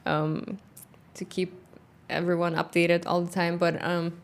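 A middle-aged woman with a non-native English accent, a company founder in a casual interview, talking thoughtfully with many pauses. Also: lowish voice, little pitch movement. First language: not English; grew up in Kazakhstan, speaks Russian. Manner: thoughtful, frequent "um" pauses, light laughter. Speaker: guest, founder of a workwear boot company.

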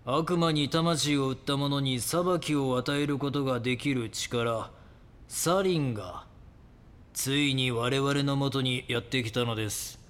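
An actor playing a calm, grandiose and menacing cult guru who preaches solemnly in an audio drama. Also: male voice, mid-range voice, measured pace, smooth, close to an everyday voice. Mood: solemn, preaching. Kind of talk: Japanese audio drama acting.

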